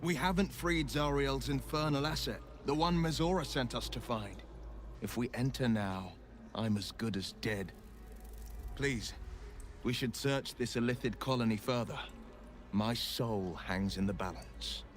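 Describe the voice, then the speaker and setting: A man plays an earnest young hero who speaks slowly and firmly. His voice is mid-pitched, with faint ambient noise behind him. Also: lightly acted. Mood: worried. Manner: earnest, firm. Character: earnest young male hero bound by a devil's pact.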